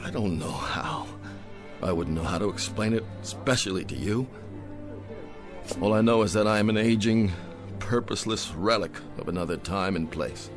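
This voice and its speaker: An actor playing an aging, tender-hearted, down-and-out drinker in an emotional radio drama style. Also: male voice, fairly low pitch, normal speed, lightly acted. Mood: weary, bitter. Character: aging, weary, drunken, tender-hearted down-and-out man. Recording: radio drama with music underneath.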